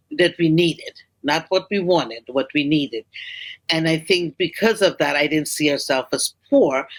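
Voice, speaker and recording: A woman's voice: low-pitched, rough recording. A woman from Guyana, a school leader, talking as a guest in an interview and often repeating words while she thinks. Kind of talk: interview conversation.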